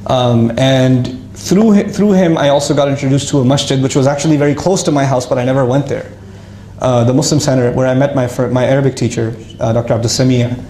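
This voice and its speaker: A man casually telling his life story to an audience, lively and self-mocking, with frequent restarts. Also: medium pitch, fairly quick, echoey room, slight background noise.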